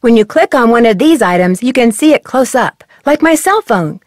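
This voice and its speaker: A woman's voice: on the high side, expressive, normal speed, no echo, studio quality, lightly acted. A young woman voicing a curious, upbeat female detective who guides the player in a friendly, instructive way. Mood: cheerful. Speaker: game narrator and guide. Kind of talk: video game voice acting.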